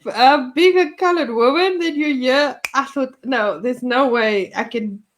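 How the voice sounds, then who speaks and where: A woman with a Cape Town South African accent, telling stories in an unhurried way and laughing a lot on a video call. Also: sits high, background noise. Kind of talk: casual conversation.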